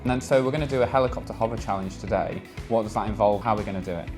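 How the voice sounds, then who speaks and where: A man with a low voice hosts an aviation vlog. He talks in a casual, conversational way, putting questions like an interviewer. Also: very fast, a little mellow, soft background music. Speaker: vlog host, fixed-wing pilot trying helicopters.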